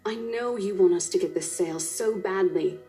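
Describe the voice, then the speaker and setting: A woman's voice: mid-range voice, moderate pace, dark tone, soft background music, close to an everyday voice. A woman voicing a caring, firm young estate agent in a visual novel game, earnest and insistent as she coaxes a coworker.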